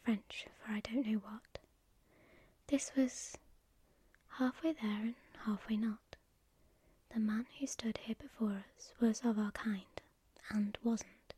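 A woman narrates a story in a breathy, husky whisper that sits a little high. Her reading is slow, hushed and deliberate, and her voice is mellow and soft-edged. The recording is close and dry, with no room sound. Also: steady tone, no room sound.

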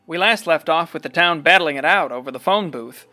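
A man's voice: fairly high pitch, a little fast, sharp tone. An actor playing a folksy, gossipy man who narrates a radio play in a wry, confiding manner. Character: folksy, gossipy small-town storyteller.

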